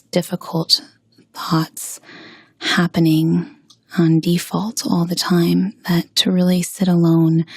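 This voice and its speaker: A woman leading a guided meditation with gentle spoken instruction, pausing slowly and soothingly in a breathy, husky voice. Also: fairly low pitch, no room sound.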